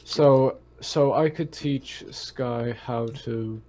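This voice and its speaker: A man playing a tabletop game, chatting casually in a halting way, apologetic and repeating himself. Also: mid-range voice, mellow. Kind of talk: casual chat. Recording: faint noise, keyboard clicks.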